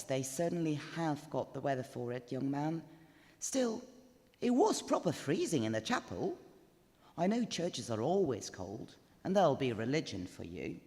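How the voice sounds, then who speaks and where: An elderly widow played by a man rambles on in a ghost story read live. She is gossipy and grumbling, her pitch jumping high, and her colloquial chatter rings around a reverberant chapel. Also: warm tone. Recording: live reading in echoing chapel.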